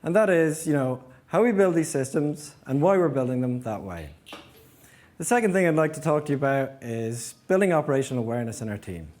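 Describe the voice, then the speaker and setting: A man's voice: on the high side, animated, fairly quick, a little mellow. A man giving a prepared presentation as an engineering manager, explaining step by step and posing rhetorical questions. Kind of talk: prepared conference-style presentation.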